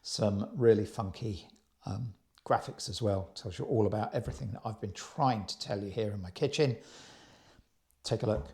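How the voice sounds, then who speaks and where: A man's voice: fairly low pitch, moderate pace, dark tone, breathy edge. A man giving a casual, explanatory product review, speaking informally and directly to viewers. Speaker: vlog host and product reviewer.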